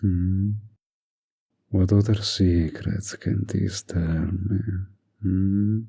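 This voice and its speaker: Man acting a husky, low, seductive villain. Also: fairly even intonation, dark tone, full character voice. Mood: seductive, probing. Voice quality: husky, breathy, often near a whisper. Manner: slow, teasing, seductive, insinuating. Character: flirty, manipulative male fantasy villain seducing a hero. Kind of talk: romantic audio roleplay acting.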